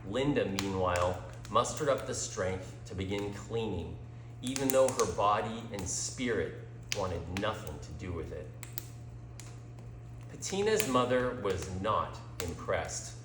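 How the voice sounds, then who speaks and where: Male narrator, slow pace, lively intonation, dramatic story reading, deliberate, echoing room. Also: lowish voice, background noise. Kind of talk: scripted story narration, dramatic read-aloud. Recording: roomy, echoing sound.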